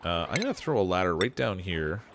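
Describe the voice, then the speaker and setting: A man streaming a game live, thinking aloud and answering chat, his voice still lower than usual from a cold, with frequent laughs. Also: sing-song, a little fast, very noisy. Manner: chatty, laughs often, thinks aloud with restarts. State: voice lower from getting over a cold. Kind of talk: live gameplay commentary.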